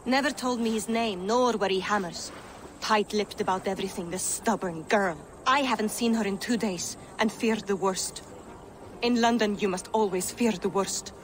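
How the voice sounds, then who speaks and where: A woman's voice: mid-range voice, moderate pace, slight background noise, natural voice. A woman playing an anxious, feisty mother in a historical video game, fretful and earthy. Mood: worried. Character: anxious, feisty working-class mother in Viking-age London. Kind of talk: video game cutscene acting.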